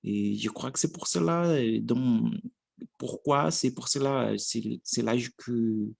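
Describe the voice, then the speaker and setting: Slow hesitant Brazilian man, gravelly. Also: medium pitch, darkish tone, no room sound, clean studio sound. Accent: Brazilian Portuguese. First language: Portuguese. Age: 30s. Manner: hesitant, searching for words, frequent restarts. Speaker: language learner vlogger. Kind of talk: casual practice monologue.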